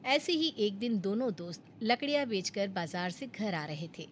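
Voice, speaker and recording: A woman's voice: medium pitch, animated, moderate pace, slight background noise. A woman narrator reading a scripted moral story for a cartoon.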